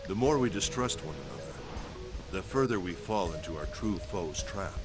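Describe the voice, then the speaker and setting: An actor playing a composed, analytical man in a game, speaking in a measured, reasoning way. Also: sits low, expressive, music in the background. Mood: calm reasoning. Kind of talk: English game dub.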